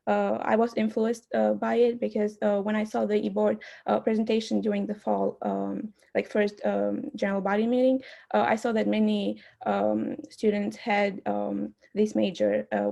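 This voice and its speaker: Young woman, super gravelly fry voice, kinda halting with tons of ums, campaign intro on a call. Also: mid-range voice, warm tone, highs cut off. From Albania. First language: Albanian. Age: young adult. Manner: hesitant, many uh and um fillers. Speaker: student club board candidate. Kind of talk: self-introduction campaign speech.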